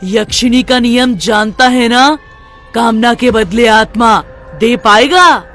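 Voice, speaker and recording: A fearsome female demon played by a woman speaks in a high voice, demanding souls with cold authority. She is menacing and commanding, a horror cartoon villain, with prominent music underneath. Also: slow. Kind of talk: dramatized horror cartoon story. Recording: loud music behind the voice.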